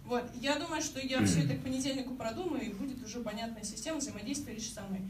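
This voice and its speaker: A woman's voice: a little high, fast. A woman in her twenties, speaking as a campaign office coordinator to a public meeting, earnest and hesitant with restarts. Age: 20s. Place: crowded meeting room indoors. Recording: echoey room, mic far off, noisy.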